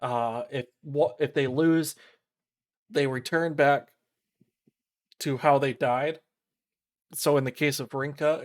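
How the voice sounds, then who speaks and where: A man giving casual commentary on a visual novel playthrough, explaining things in a rambling, halting way. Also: mid-range voice. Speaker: game streamer. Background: game music.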